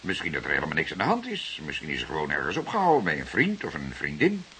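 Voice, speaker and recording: A middle-aged actor playing a calm, patient senior detective in a crime drama, questioning in a deliberate, musing, hesitant way. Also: male voice, a bass voice, heavily acted. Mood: probing, musing. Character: calm, patient senior male police detective, gently probing. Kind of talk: naturalistic crime drama acting. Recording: hissy recording, faint noise.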